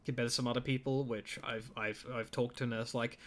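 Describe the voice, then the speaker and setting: A man's voice: medium pitch, flat delivery, a little fast. A man hosting an interview, rambling a little and full of "like" and other fillers. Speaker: interviewer, host. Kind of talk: casual interview conversation.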